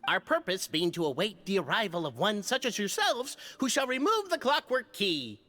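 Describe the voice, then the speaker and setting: An actor playing an excitable, grandiose bard-like clan historian in a fantasy video game, in an echoey hall. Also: male voice, high voice, normal speed, exaggerated voice. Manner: grandiose, declaiming. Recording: echoey hall reverb with music.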